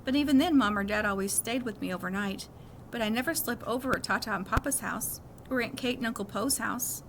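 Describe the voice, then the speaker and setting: A woman's voice: a little high, a little fast. A woman reading a children's novel aloud as a first-person story. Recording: clearly noisy background.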